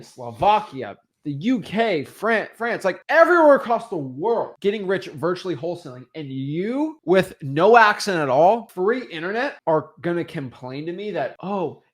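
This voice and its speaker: Animated young man giving a pep talk. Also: high voice, moderate pace, few pauses. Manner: animated, emphatic, acts out excuses in mocking voices. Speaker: real estate webinar host. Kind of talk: motivational webinar talk.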